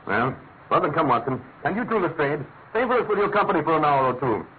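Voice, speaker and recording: An actor playing a brilliant, self-assured detective in a classic radio drama, with a crisp manner of speech. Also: male voice, fairly high pitch, sing-song, quick pace. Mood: brisk, approving. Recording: noisy, tinny vintage radio recording.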